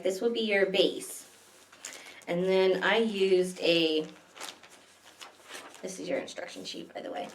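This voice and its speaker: A woman's voice: mid-range voice, distant mic, a little hiss or hum. Lady doing kids story time, explains stuff simply, roomy echo, a bit away from the mic. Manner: simple, child-directed explaining with questions. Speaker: children's librarian and story time host. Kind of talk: picture-book reading and simple craft demonstration for small children. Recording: echoey room, away from the mic.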